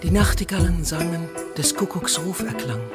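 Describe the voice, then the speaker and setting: A woman performing brooding romantic verse in a radio play, in a deliberate, lyrical style set to music. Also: lowish voice, natural voice. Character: female reciter of a brooding romantic empress's verse. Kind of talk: slow poetic recital set to music.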